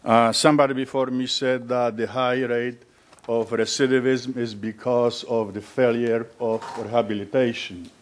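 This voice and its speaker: A man's voice: mid-range voice, flat delivery, normal speed. A man giving a blunt, matter-of-fact public comment at a community meeting, citing statistics.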